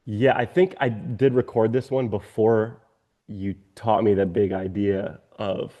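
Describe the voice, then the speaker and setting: A man chatting casually as he reviews his own work, halting and self-critical in manner. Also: lowish voice, fairly even intonation, muffled recording. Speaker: radio presenter being coached. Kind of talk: casual conversation.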